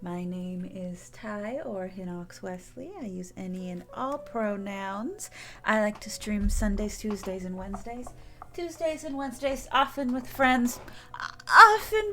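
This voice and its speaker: A woman's voice: on the high side, expressive, a little slow, bright, forceful tone. A woman streamer chatting casually to her viewers, rambling and often restarting her sentences. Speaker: game streamer.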